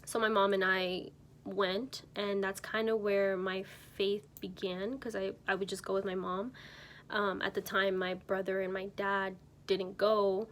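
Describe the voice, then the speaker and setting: A woman's voice: medium pitch, little pitch movement, a little slow, fairly bright tone, slight background noise. A woman in her twenties, from Mexico, telling her personal faith testimony to camera in a casual, unpolished way with many ums and self-corrections. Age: 20s. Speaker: church member sharing her testimony.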